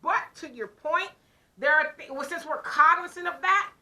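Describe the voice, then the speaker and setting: A woman's voice: very high-pitched, normal speed. A woman co-hosting a casual talk show, animatedly telling a story and acting out both sides of a conversation. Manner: animated storyteller who acts out her own side of conversations. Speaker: podcast co-host. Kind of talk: casual chat.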